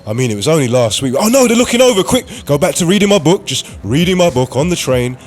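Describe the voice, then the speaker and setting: A man's voice: fairly high pitch, sing-song, quick pace, pitched above the performer's own voice. Man acting a furtive, nervous commuter, music behind. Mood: furtive, judging. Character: nervous, gossipy commuter thinking to himself on a train. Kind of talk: radio play inner monologue.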